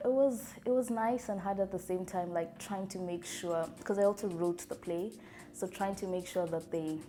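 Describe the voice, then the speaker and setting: A young woman from Kenya speaks in a fairly low voice, open and reflective about directing. Music plays clearly under her. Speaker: student playwright and director. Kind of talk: interview.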